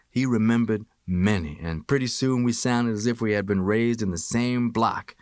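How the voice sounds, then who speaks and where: An American man reading a first-person memoir as an audiobook narrator, with expressive, measured storytelling. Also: fairly low pitch, no room sound, studio quality.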